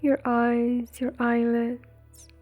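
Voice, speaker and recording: A woman leading a guided meditation in a soothing, breathy, gentle way, leaving long pauses between phrases. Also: a little high, level tone. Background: faint music.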